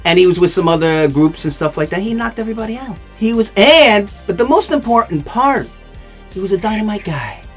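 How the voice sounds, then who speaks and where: A man's voice: high voice, lively intonation, a little fast, husky, faint music behind. A man hosting a casual interview, gushing and repeating "you know what" while he talks. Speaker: talk show host playing a vampire count.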